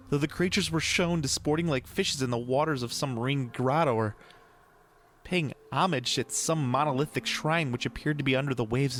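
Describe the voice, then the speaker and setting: A man's voice: mid-range voice, fairly bright tone, slight background noise. A man reading a horror story aloud in a theatrical, brooding, ornate literary delivery. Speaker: podcast host reading horror tales aloud. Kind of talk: dramatic scripted horror story narration. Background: atmospheric ambience sound bed.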